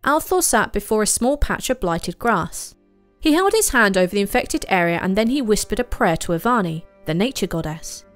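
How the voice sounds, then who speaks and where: A woman's voice: medium pitch, big pitch swings, normal speed, dry recording, faint music behind. A woman reading scripted fantasy story narration.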